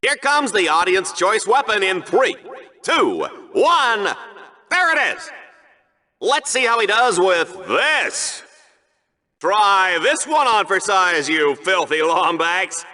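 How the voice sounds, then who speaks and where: A man's voice: high voice, very animated, takes it slowly, pushed and bright, heavily acted. An actor playing a vain, goofy male game-show commentator with a showy, bombastic, smug delivery for a video game. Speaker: sports show announcer.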